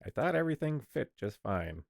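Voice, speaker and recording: A man's voice: mid-range voice, sing-song, moderate pace, gravelly, no echo, studio quality. A man hosting a casual online stream chat, rambling and restarting his sentences while he sets up and talks. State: coughing lately, sick. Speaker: streamer host.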